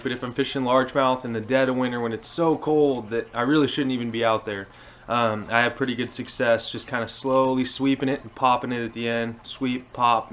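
A man's voice: medium pitch, a bit bright. A man in his twenties with an American accent, giving a casual how-to talk to a camera in a living room. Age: 20s. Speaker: fishing vlogger. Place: indoors, small living room. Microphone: action camera on table at arm's length.

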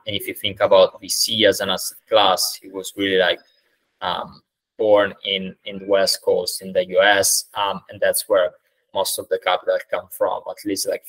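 A man with an Italian accent speaks in a low, even voice with a bright, forward edge. He answers at a measured pace, scattering ums and uhs, heard through a video-call webcam mic. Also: rough recording. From Italy. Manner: measured, many "uh" and "um" fillers. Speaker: startup founder, guest. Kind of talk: conversational interview answers. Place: indoors, plain room.

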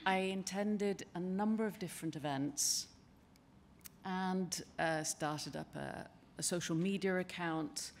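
An older woman giving a conference presentation at a lectern in a large wood-panelled hall, speaking in a measured, conversational way with frequent ums and restarts. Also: lowish voice, a little hiss or hum. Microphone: lectern microphone.

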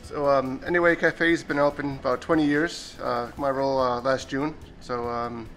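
A man's voice: mid-range voice, fairly even intonation, normal speed, a bit bright. A man, a restaurant's executive chef, answering interview questions hesitantly with many fillers. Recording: faint background music.

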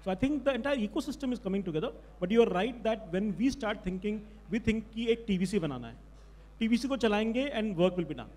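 A man speaks on a conference panel as a company executive, measured and explanatory. His voice is high but mellow. The big hall adds a long reverb. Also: expressive. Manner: measured, explanatory, mixes Hindi and English. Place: large conference hall stage. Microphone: handheld mic.

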